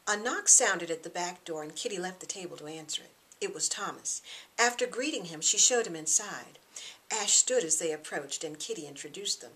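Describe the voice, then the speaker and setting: A woman's voice: fairly low pitch, animated, moderate pace, fairly bright tone. A woman narrating her own novel as a scripted audiobook, acting out the dialogue expressively. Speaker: author reading own novel.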